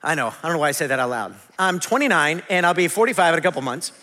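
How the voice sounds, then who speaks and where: A man in his forties preaching a conversational sermon, animated and self-deprecating. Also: a little high, very fast. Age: 40s. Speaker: pastor. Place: large church hall. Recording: long hall reverb.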